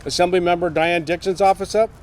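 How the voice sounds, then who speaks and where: A man's voice: high voice, fairly even intonation, fast talker, a little mellow, a little hiss or hum. A man acting as emcee at an outdoor event, introducing speakers casually with fillers and hesitations.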